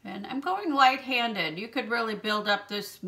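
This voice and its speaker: A woman in her fifties hosting a makeup tutorial from a small room at home, explaining each step in a gentle, chatty way and clearing her throat now and then from allergies. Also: fairly high pitch, unhurried. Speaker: beauty channel host.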